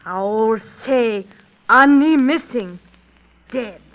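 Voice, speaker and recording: Stubborn solemn mom on the stand, high voice, terse broken english, muffled old radio drama. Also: female voice, lively intonation, extremely slow. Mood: stolid, halting. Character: stubborn, solemn Native woman, mother giving testimony. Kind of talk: 1930s radio drama acting. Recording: dull old radio recording.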